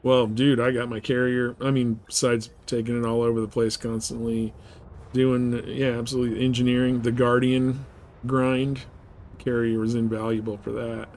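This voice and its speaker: A man's voice: medium pitch, little pitch movement, moderate pace, a little mellow, a little hiss or hum. A man chatting casually as he streams a game, laid-back, slangy and prone to swearing. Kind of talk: casual chat with viewers while playing.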